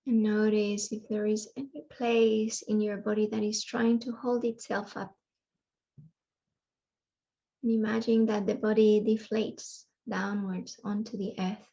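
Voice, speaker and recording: Mellow, even-toned woman guiding meditation. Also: medium pitch, gravelly, husky, highs cut off. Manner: soothing, unhurried phrasing with long pauses. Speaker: yoga nidra guide. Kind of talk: guided meditation.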